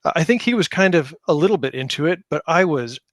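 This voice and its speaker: A man's voice: a little high, expressive, a little fast, no echo, studio quality. A middle-aged man talking casually as an interview guest, with an enthusiastic, self-deprecating manner. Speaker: podcast guest, game creator.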